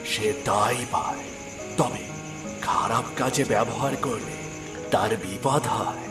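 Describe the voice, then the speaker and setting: A man voicing a calm, magical talking swan in a cartoon, speaking gently in a slow, measured, reassuring way. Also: mid-range voice, roomy sound, far from the mic, music in the background, natural voice. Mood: calm, mysterious. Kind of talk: cartoon voice acting.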